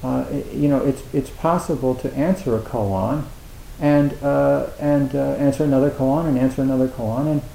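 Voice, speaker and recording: A man with a gravelly, rough-edged voice gives a halting dharma talk as a Zen teacher, circling back over his words with wry humor. The room rings with echo, and a faint hiss sits under him. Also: mid-range voice, steady tone, mellow. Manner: halting, many restarts, wry self-mocking humor. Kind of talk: dharma talk at a meditation retreat.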